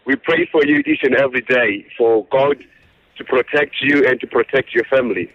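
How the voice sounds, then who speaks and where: A man with a Zimbabwean accent calling a radio show, speaking in an earnest, devout and deliberate way. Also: mid-range voice, no room sound. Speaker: phone-in caller. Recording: dull telephone line, dry.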